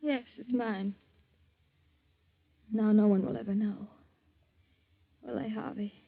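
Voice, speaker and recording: A woman's voice: mid-range voice, fairly even intonation, slow pace, gravelly. An actress playing a distraught, guilt-ridden woman, tearful and pleading in 1940s radio melodrama style. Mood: anguished. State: tearful, crying. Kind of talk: 1940s radio drama acting. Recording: muffled 1940s radio recording.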